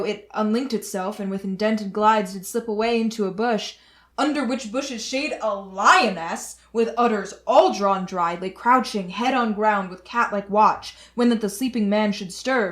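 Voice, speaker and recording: A woman's voice: medium pitch, moderate pace, lightly acted. Woman playing a solemn, repentant young nobleman. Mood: suspenseful. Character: repentant courtly nobleman, elder brother, solemn storyteller. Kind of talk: Shakespearean radio play acting.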